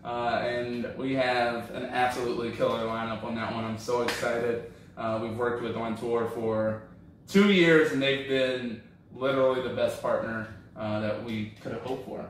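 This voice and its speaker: A man hosting a comedy podcast, chatting casually while tasting beer, relaxed with lots of fillers. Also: medium pitch, normal speed, slight background noise. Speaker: comedy show host. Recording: roomy echo, from a distance.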